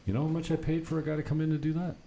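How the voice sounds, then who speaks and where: A man's voice: fairly high pitch, level tone, very fast, creaky, warm tone. A man hosting a casual, unscripted podcast from an apartment, rambling through anecdotes in an unfiltered way with some mild swearing. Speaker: talk show host. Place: apartment set up for recording. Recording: noticeable background noise.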